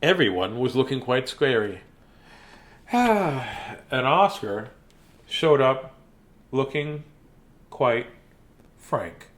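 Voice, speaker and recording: A man reading a children's bedtime story theatrically, with dramatic pauses and playful asides. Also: mid-range voice, sing-song.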